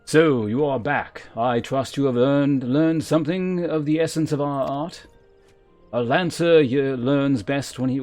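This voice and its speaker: Guy doing a stern lancer trainer from a fantasy game, formal and bossy, measured delivery, bit of music behind. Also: fairly high pitch, a little mellow, voice pushed up. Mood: stern, instructive. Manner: formal, measured, commanding, archaic phrasing. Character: stern, demanding male lancer guildmaster, lofty and grave. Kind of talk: voiced fantasy game dialogue.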